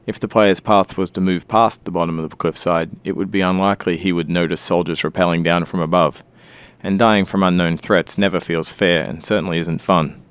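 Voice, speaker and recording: A man's voice: deep voice, fairly even intonation, a little fast, clear, resonant tone, no echo. A man giving scripted developer commentary as a game designer, explaining design choices.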